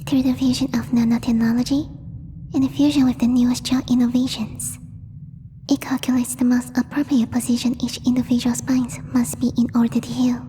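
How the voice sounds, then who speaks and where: Woman performing a whispery, high-pitched alien girl. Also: flat delivery, dark tone, close to an everyday voice. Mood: gentle, formal. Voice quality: breathy, whispery. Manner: halting, formal, stilted phrasing, searching for words. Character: gentle, doting, timid alien girl from a glorious empire. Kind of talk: soft whispered ASMR audio roleplay. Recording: long reverb, faint background noise.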